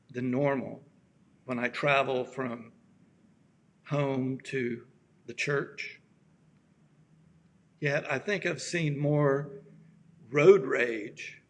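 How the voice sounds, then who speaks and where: A man with a raspy voice, a pastor giving a gentle, halting sermon with many pauses, his voice worn from allergies. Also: medium pitch. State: allergies acting up. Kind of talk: slow reflective sermon.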